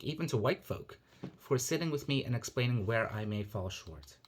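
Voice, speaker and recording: A man raised in Nigeria and the United States reading his own reflective personal essay in a measured, earnest manner. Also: mid-range voice. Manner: measured, earnest, thoughtful delivery.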